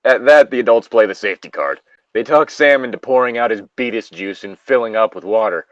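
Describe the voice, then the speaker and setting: A man's voice: on the low side, brisk, no echo, lo-fi sound. A man hosting a casual story reading, breaking off into sweary, exasperated commentary. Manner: sweary, animated, exasperated asides. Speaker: host reading an internet story aloud.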